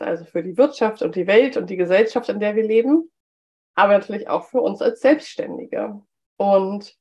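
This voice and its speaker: A woman talks through a solo podcast episode, her voice slightly hoarse from a cold. She speaks at an ordinary mid pitch and chats along with plenty of "ähm"s. The recording sounds a bit dull and lo-fi. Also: a little mellow. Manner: chatty, with frequent "ähm" fillers. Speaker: podcast host. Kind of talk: solo business podcast talk.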